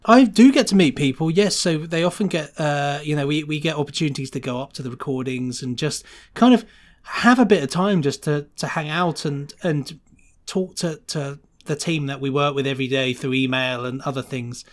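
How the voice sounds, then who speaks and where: A man's voice: on the high side, moderate pace. A man chatting casually and enthusiastically as an interview guest, rambling through his answers in a loose, conversational way. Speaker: podcast guest, sound designer.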